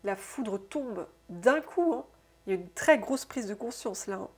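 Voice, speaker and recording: A woman with a stuffy, congested nose from a cold, giving an intuitive oracle card reading to her viewers, musing aloud softly and often tagging her sentences with "hein". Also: mid-range voice, lively intonation, breathy edge. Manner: soft, unhurried, musing aloud with frequent "hein". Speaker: soul therapist and oracle card reader.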